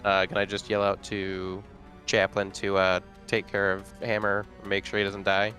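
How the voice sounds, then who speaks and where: Male player, low-ish voice, hesitant casual chatter, light music behind. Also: monotone, moderate pace, sharp tone. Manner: casual, hesitant, many fillers. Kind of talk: casual chat at a game table.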